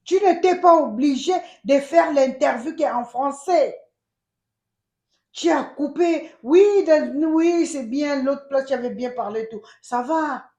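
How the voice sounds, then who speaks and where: A woman with a Congolese accent giving emphatic, animated casual commentary as a channel host, switching between French and Lingala. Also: high-pitched, measured pace, roomy sound. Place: United States.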